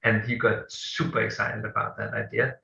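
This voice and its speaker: Danish-accented man, low and flat voice. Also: normal speed, gravelly. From Denmark. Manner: hesitant, many fillers and restarts. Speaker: guest, company co-founder. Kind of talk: interview answers. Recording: echoey room, dull lo-fi sound.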